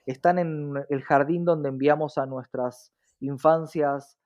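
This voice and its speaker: A fairly high-voiced man with a Rioplatense Argentine accent explains his views as an interview guest. He is earnest and reflective, building points through repetition and rhetorical questions. The recording is a little dull and lo-fi. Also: fairly even intonation, slow pace. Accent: Rioplatense Argentine Spanish. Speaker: interview guest, diversity specialist. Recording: slightly dull, lo-fi sound.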